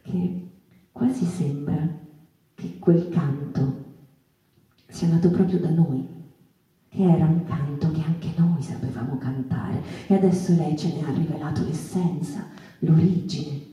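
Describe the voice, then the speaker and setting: Wistful female stage storyteller, mellow tone, halting and impassioned, recorded from off in an echoey room. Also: low voice. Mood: tender awe. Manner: halting, repetitive, rhetorical questions to listeners. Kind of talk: theatrical monologue, dramatic storytelling. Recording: echoey room, distant microphone.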